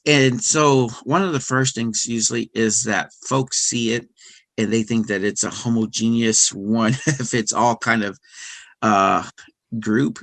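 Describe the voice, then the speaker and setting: A middle-aged man speaking as a podcast guest, talking casually with many fillers, restarts and soft laughs. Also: medium pitch, measured pace, no echo. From United States. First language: English. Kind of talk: casual interview conversation.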